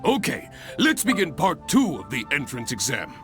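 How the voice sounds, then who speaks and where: An actor playing a hearty, encouraging exam master in a video game, speaking in a grandiose, instructive way. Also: male voice, medium pitch, huge pitch swings, moderate pace. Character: hearty, encouraging male exam master of adventurers, theatrical and enthusiastic. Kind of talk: video game character acting. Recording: game music under the voice.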